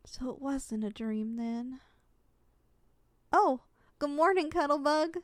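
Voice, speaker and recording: A young woman playing a kind, caring giantess in an ASMR roleplay, speaking in a gentle, affectionate, conversational way. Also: a little high, clean tone, dry recording, studio quality. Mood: sleepy, puzzled. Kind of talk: ASMR roleplay, intimate character acting.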